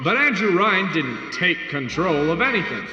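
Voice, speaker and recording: A man's voice: high-pitched, sing-song, normal speed. An actor playing a folksy, patronising man in a staged old-time propaganda announcement skit. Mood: chipper, patronising. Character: folksy, reassuring male propaganda announcement character. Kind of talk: old-time American public service skit. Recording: dull echoey public address, loud music.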